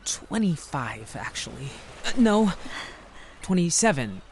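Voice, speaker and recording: An actor playing a shy, smitten male friend in an audio drama, halting and awkwardly earnest. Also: a little high, sing-song, gravelly, a little mellow, slight background noise, full character voice. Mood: flustered, smitten. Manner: halting, tentative.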